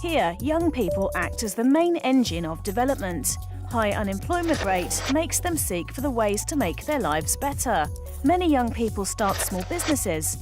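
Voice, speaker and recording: Lively female documentary narrator. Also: on the high side, moderate pace. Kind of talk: scripted narration. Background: music.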